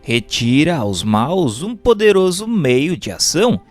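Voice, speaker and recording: Brazilian man narrating with lively intonation, over music. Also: on the high side, a little slow. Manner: formal, didactic. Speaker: narrator reading a book aloud. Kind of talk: scripted audiobook-style narration of a doctrinal text.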